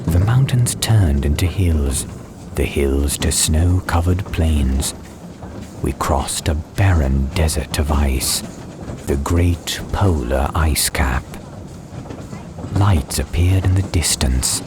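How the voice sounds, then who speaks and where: A man reading a dramatized storybook as an audiobook narrator, with measured, deliberate phrasing. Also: rumbling low. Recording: clear ambience and train noise behind. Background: soundscape of train and ambience.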